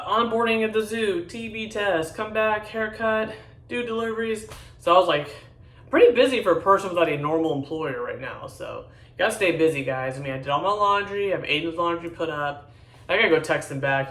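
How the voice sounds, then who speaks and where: Deep-voiced lady in her 40s just venting to camera, blunt, kinda echoey room, mic sorta far off. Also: brisk, fairly bright tone. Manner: rambling, blunt and candid. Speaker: vlogger. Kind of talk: casual chat to camera.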